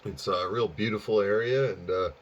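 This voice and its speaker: An American man chatting casually and easygoingly over ham radio, rambling along with drawn-out pauses. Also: on the low side. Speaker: amateur radio operator and channel host. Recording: dull, lo-fi sound.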